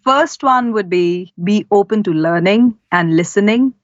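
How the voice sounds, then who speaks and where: A woman's voice: medium pitch, a little slow, no room sound. Earnest woman voice artist, lo-fi audio. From India. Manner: earnest, practical, gives advice step by step. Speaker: voice-over artist, guest. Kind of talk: interview answers. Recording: slightly dull, lo-fi audio.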